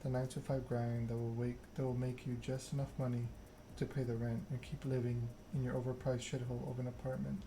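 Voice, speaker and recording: Guy doing asmr story narration, pretty even tone, not rushing, noticeable noise behind him. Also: medium pitch. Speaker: video game streamer reading game text aloud.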